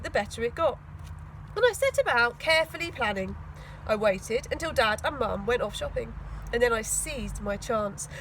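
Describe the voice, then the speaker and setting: A woman reading a children's story aloud in an expressive, emphatic storytelling manner. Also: high voice, slight background noise, low-quality recording. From England. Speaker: school teaching assistant reading aloud to children. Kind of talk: storybook read-aloud narration.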